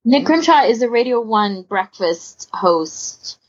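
A woman co-hosting a podcast, chatting casually in a husky, gravelly voice. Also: on the high side, lively intonation, takes it slowly, highs cut off. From United Kingdom. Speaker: podcast host.

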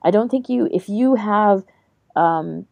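A woman's voice: mid-range voice, slow, creaky, darkish tone, no echo. A woman co-hosting a podcast in a casual discussion, thinking aloud in an informal way. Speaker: podcast co-host.

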